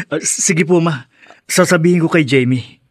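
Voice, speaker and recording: A man's voice: on the high side, normal speed, breathy edge, no echo, clean studio sound. A man playing a deferential, hesitant young husband and son in a Filipino radio drama. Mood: hesitant, obedient.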